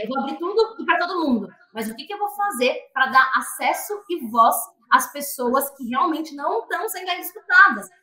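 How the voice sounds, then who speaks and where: A woman's voice: fairly high pitch, sharp tone, echoey room, rough recording. A woman speaking as a guest in an earnest, reflective discussion, with frequent restarts and fillers. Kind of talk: conversational discussion.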